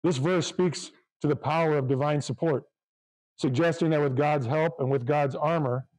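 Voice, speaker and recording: A man preaching a sermon to his congregation, reading scripture aloud in a loud, fervent voice. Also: on the high side, fairly even intonation, normal speed, low-quality recording. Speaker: pastor.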